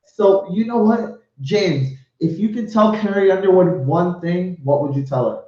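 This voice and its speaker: Male host, effusive and profane; high voice, echoey muffled audio. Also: takes it slowly, mellow. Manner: effusive, swears, laughs a lot. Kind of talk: casual live show chat.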